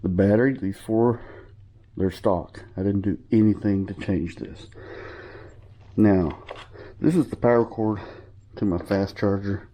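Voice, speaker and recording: A man's voice: lowish voice, fairly even intonation, a little slow, pauses often, dark tone. A man giving a casual, rambling show-and-tell of his equipment, sniffling a little from a cold and correcting himself as he goes. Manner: rambling, informal, with fillers and self-corrections. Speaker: hobbyist showing his gear.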